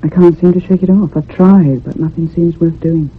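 An actress playing a depressed, lonely woman writer in her thirties, speaking in a measured, confiding in a radio drama. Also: fairly low pitch, steady tone, slightly rough. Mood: downcast, confiding. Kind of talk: radio drama acting and first-person narration. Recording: muffled lo-fi old radio recording.

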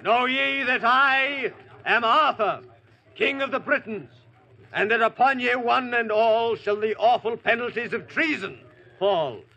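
A man's voice: very high-pitched, a little slow, creaky, sharp tone, full character voice. An actor playing an indignant, regal King Arthur in lofty archaic diction, in an old radio drama. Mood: defiant, proclaiming. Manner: lofty, declamatory.

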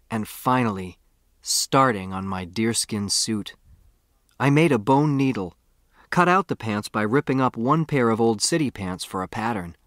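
An American man reading a first-person novel as an audiobook narrator, with expressive, boyish storytelling. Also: fairly low pitch, no echo, studio quality.

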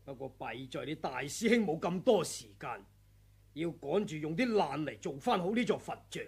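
A harsh, gravelly villain of the sword world in an old radio play. his voice is very raspy and swings widely in pitch as he taunts. he sits rather high for a man. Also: animated, normal speed, full character voice. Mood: gloating. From Hong Kong. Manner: menacing, taunting, bursts into shouting. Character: ruthless male senior swordsman, gloating and cruel. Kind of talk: 1980s radio drama acting.

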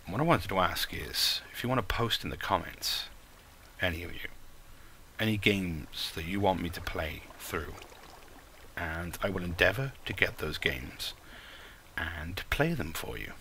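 A man's voice: low voice, lively intonation, normal speed, bright, forceful tone, a little hiss or hum. A man with a light Welsh accent hosting a gaming channel, talking casually and rambling over his gameplay. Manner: rambling and self-mocking, with playful put-on crooning.

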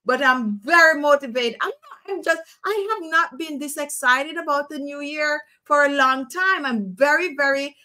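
A middle-aged woman with a Jamaican accent chats to her live-stream audience from a room at home. Her voice sits high and sounds bright and clear. She talks in a chatty, animated way into a desk webcam. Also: normal speed. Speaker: beauty channel host. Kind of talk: casual live-stream chat.

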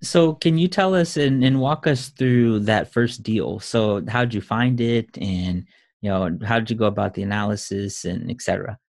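A man's voice: fairly low pitch, normal speed, creaky, darkish tone, dry recording, studio quality. A man hosting a podcast who reads a scripted introduction and then asks interview questions in an upbeat, eager way. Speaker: podcast host. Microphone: headset.

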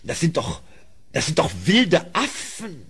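An actor playing a fawning, excitable royal minister in a vintage German radio play. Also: male voice, on the high side, unhurried, gravelly, exaggerated voice. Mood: delighted, amazed.

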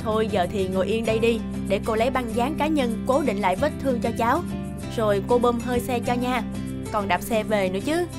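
A woman playing a sharp-tongued but caring young mother who minds a repair shop, in an expressive animated cartoon dub. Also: a little high, a little fast, natural voice. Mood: warm, teasing. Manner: expressive, scolding or fussing. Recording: music behind the voice.

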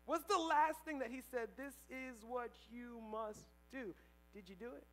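A young man preaching a sermon on a church stage through a headset mic, swinging between loud emphatic lines and quiet asides. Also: extremely high pitch, measured pace, a little hiss or hum. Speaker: pastor. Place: church stage, large indoor hall.

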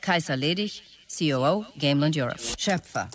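A man's voice: fairly high pitch, expressive, normal speed, fairly bright tone. An actor playing a visionary, demanding male startup executive in a radio drama, speaking tersely and emphatically. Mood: visionary, resolute. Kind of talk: radio drama, characters dictating messages.

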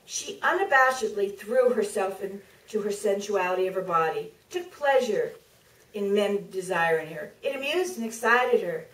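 A middle-aged woman author giving a measured, deliberate staged reading from a podium, with pauses for effect. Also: medium pitch, expressive, a bit bright, far from the mic. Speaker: author reading from her book. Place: theater stage, filmed from the audience. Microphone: podium microphone heard through distant camera. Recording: roomy theater, picked up from afar.

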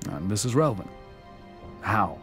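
A man's voice: on the low side, big pitch swings, moderate pace, a bit bright, soft background music. An actor playing a stern, skeptical male squad commander in a game cutscene, terse and guarded. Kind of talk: video game cutscene acting.

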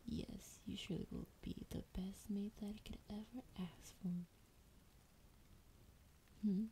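A woman playing a lonely, ancient female dragon, tender and teasing, in a breathy, intimate whispered ASMR roleplay. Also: mid-range voice, dark tone, rough recording. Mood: affectionate. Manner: slow, coaxing, affectionate. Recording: clear background noise, ambience.

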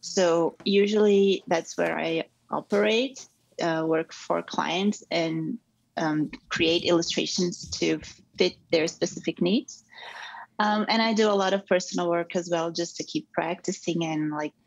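Female interviewee, thirties, low-pitched and creaky, conversational. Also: measured pace, no room sound, poor audio. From Brazil. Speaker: podcast guest, illustrator. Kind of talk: casual explanatory interview answers. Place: indoor room, video call.

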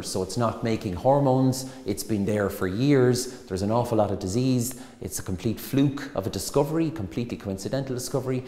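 A man in his forties with an Irish accent, a hospital consultant briskly explaining patient care in an interview, recorded on a lapel mic in a small stone-walled room. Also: fairly low pitch, darkish tone. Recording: echoey room with long reverb.

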